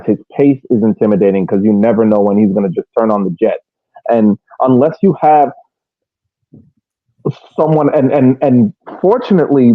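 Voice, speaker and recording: A man talks through a football match as a podcast co-host. His delivery is casual and detailed, with repeated phrases and restarts. The sound is narrow and phone-like, very dry, at a middling male pitch. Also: dry recording. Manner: halting, repeats and restarts phrases while building detailed points. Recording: thin phone-like band-limited audio.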